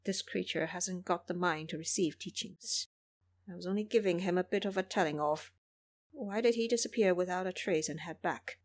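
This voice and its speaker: A woman's voice: lowish voice, moderate pace. A woman playing a pious male Buddhist monk, an earnest master who admonishes and preaches in an audio drama. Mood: dejected.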